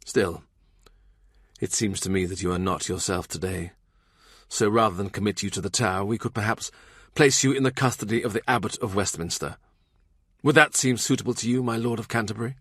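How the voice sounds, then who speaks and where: A blunt, furious royal minister, as a man voices him. He argues loudly and heatedly, his low voice pushed up in force. The storybook recording stays dry and close. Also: a little fast, husky, no room sound.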